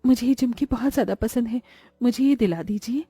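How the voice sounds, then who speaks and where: A woman playing a greedy, envious, sharp-tongued young wife and mother in a Hindi cartoon moral story. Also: on the high side, brisk, husky, no echo, studio quality, soft background music. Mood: coaxing.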